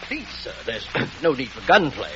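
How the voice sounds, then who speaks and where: An actor playing a nervous, fussy reverend performing a wedding in a 1940s radio comedy, stammering. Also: male voice, medium pitch, big pitch swings, moderate pace, close to an everyday voice. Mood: flustered. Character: flustered male reverend, nervous. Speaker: minister. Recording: dull 1940s radio broadcast with loud hiss.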